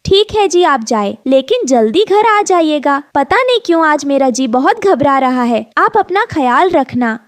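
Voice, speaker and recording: A woman's voice: high-pitched, big pitch swings, unhurried, hardly any pauses, no room sound. A woman voicing an anxious, polite young mother sparrow who pleads in a cartoon story. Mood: worried. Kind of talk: Hindi cartoon story dubbing.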